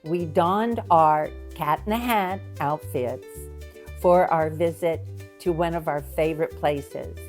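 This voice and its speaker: A woman's voice: low-pitched, slow, darkish tone. An older woman co-hosting a travel ministry show, chatty and upbeat. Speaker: co-host. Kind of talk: casual co-hosting. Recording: faint music behind.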